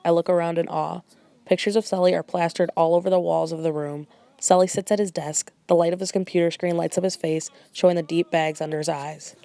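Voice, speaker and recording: A woman's voice: on the low side, fairly even intonation, brisk, creaky, warm tone, dry recording, soft background music. A woman giving scripted first-person narration in an amateur fairytale parody. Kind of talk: scripted storybook narration and character dialogue.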